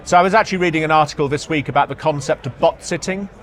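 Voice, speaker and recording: A man speaking as an executive in a product interview, halting, often repeating words and hesitating as he explains. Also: on the high side. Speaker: marketing executive being interviewed. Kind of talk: product interview at a trade show. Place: trade show floor.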